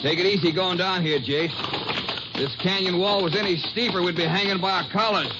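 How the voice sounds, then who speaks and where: An actor playing a laconic, plain-spoken Ranger sergeant in a 1950s radio drama. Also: male voice, high voice, brisk, natural voice. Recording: dull, hissy 1950s radio broadcast.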